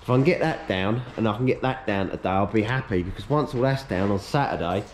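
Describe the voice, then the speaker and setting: A man in his thirties, slightly hoarse, chatting casually to a head-mounted camera while he strips out his workshop. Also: lowish voice, fast talker, a little hiss or hum. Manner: chatty, upbeat, matter-of-fact while working. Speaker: workshop owner vlogging. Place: large workshop being stripped out, indoors. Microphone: head-mounted action camera.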